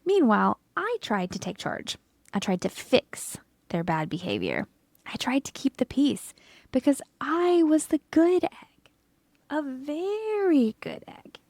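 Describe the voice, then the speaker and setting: A woman voicing an earnest, dutiful male egg telling his own story in a children's storybook, often breathy. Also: high-pitched, big pitch swings, a little slow, a little mellow, dry recording, pitched above the performer's own voice, heavily acted. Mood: earnest, troubled. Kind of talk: children's storybook first-person narration.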